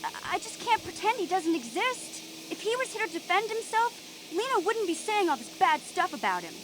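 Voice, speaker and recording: A woman's voice: extremely high pitch, big pitch swings, moderate pace, background noise. An actress voicing a feisty, stubborn teenage alien girl in a video game, brash and emotional. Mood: hurt, pleading. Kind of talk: video game character dialogue.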